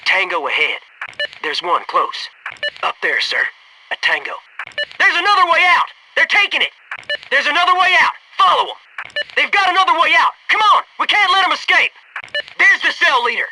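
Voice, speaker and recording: A man's voice: extremely high pitch, very animated, normal speed, pauses often, background noise, heavily acted. An American man voicing a wisecracking, gung-ho special forces soldier in a video game, with a sarcastic, informal delivery. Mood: low, tactical, then urgent, alert. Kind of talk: video game combat barks and quips.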